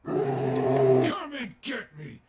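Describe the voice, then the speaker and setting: A man's voice: medium pitch, sing-song, drawn-out words, distant mic, very noisy. A man playing an earnest, theatrical animatronic bear in an audio roleplay, grandiose, formal and wordy. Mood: boastful, taunting.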